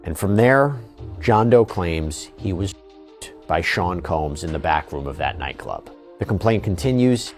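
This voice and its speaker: A man hosting legal news commentary, reading and explaining in an emphatic, deliberate way. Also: fairly low pitch, darkish tone.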